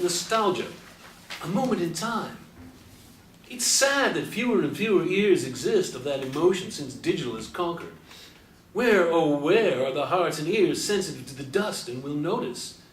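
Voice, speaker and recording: A man's voice: on the high side, lightly acted. Distant, roomy actor as wordy aging Beat man. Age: older. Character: wordy aging Beat poet, brooding doubter and music purist. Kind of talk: stage play dialogue. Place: live stage performance recorded from a distance. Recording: roomy, distant mic, faint background noise.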